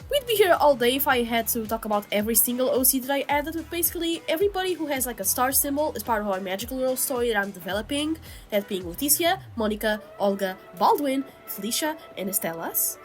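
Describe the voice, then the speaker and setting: A woman's voice: on the high side, expressive, fast. Chatty young-sounding woman, a bit sick, over music. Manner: chatty, informal, frequent "like" asides. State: sick, still under the weather. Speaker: co-host, digital artist. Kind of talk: casual speedpaint commentary. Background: background music.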